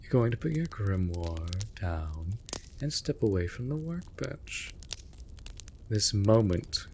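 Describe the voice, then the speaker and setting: A cheeky, affectionate male raven familiar played by a man in a fantasy audio roleplay. He drawls slowly in a lowish voice with playful, mock-polite banter, over noticeable ambient noise. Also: big pitch swings, warm tone, full character voice. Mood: gently insistent. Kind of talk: scripted comfort audio roleplay.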